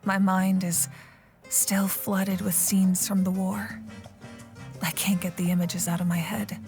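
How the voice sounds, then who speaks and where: A woman's voice: medium pitch, level tone, slow, over music, natural voice. A woman voicing a weary, war-haunted adult in a video game, subdued and brooding. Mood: troubled, weary.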